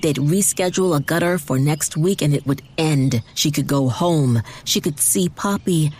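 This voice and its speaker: A woman reading dramatized fiction narration in a measured way with deliberate emphasis. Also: deep voice, a little mellow, breathy edge. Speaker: audiobook narrator.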